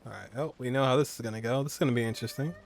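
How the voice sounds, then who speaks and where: A man's voice: medium pitch, rapid-fire, vocal fry, a little hiss or hum. A man giving casual, joking commentary as a streamer, reacting aloud while he plays a video game. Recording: faint game music behind. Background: game music.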